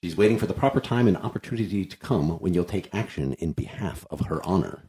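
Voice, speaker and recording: A man's voice: deep voice, expressive, fast talker, dark tone. A man reading the part of a wordy, complaining comic servant in a classical play, with wry, theatrical flourishes. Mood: gossipy, eager. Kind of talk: unrehearsed dramatic play reading of classical comedy. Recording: echoey room.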